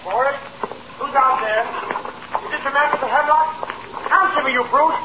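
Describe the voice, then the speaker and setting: An actor playing a sickly, poison-obsessed young invalid in a 1940s radio drama, with a sinister, relishing manner. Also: male voice, very high voice, moderate pace, heavy background noise. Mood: agitated. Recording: dull lo-fi old radio broadcast.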